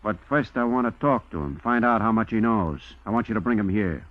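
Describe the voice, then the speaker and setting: An actor playing a menacing, impatient crime boss, curt and commanding in vintage radio crime style. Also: male voice, fairly low pitch, lively intonation, brisk, lightly acted. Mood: businesslike menace. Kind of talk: 1940s-1950s radio crime acting. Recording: dull vintage radio recording.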